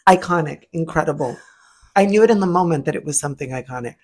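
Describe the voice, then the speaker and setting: A middle-aged man co-hosting a podcast, chatting in an animated, gushing, campy way. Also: a little high, fast. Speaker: podcast co-host. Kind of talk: casual chat.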